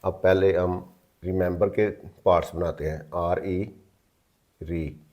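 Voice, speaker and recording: A man's voice: low voice, steady tone, slow, darkish tone. A man teaching English grammar in a patient, repetitive way, spelling words out letter by letter and checking in often with listeners. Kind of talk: explanatory lesson in mixed Hindi-Urdu and English.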